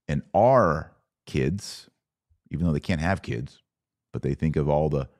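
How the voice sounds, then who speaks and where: A man delivering an opinionated podcast monologue as host, speaking emphatically with rhetorical questions and dramatic pauses. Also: low voice, a little mellow, no echo, studio quality.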